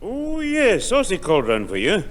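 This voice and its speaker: A man's voice: high voice, wildly swooping pitch, normal speed. A man playing a grumbling, suspicious old grandad with a hesitant delivery in a 1960s radio sitcom. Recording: old radio broadcast, echoey, hissy.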